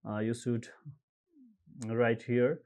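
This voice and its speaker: A man from Nepal narrates a screen-recorded tutorial, moving at an unhurried, deliberate pace. He fills gaps with frequent uh and um and reads letters and numbers out one by one. His voice sits at an ordinary middle pitch, close to a desktop mic. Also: little pitch movement, darkish tone, smooth. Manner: halting, frequent uh and um fillers, reads out codes slowly. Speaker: tutorial presenter. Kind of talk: screen-recorded how-to walkthrough.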